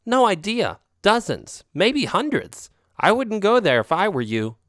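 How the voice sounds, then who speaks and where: A man's voice: high voice, sing-song, a little slow, a bit bright, no room sound, studio quality, pitched above the performer's own voice, full character voice. A man doing a knowing alley tomcat. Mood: warning. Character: knowing, cheerful male alley cat.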